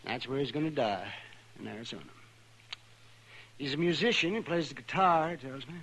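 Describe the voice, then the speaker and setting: An actor playing a grumbling, melancholy American frontier doctor in a 1950s radio western, muttering and repeating himself. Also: male voice, mid-range voice, brisk, fairly bright tone, breathy edge. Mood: somber. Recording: faintly noisy vintage radio recording.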